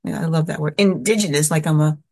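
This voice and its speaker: A woman with a somewhat low voice tells a personal story slowly and thoughtfully. She often stops, repeats words and uses fillers as she searches for what to say. She grew up in the American Southwest. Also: a little mellow. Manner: halting and reflective, many restarts and fillers. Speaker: speaker sharing her recovery story.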